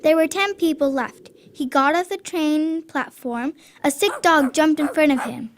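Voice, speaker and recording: A woman's voice: high-pitched, measured pace, slight background noise. A young female reading scripted narration as a storyteller in a school radio drama. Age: child or young performer.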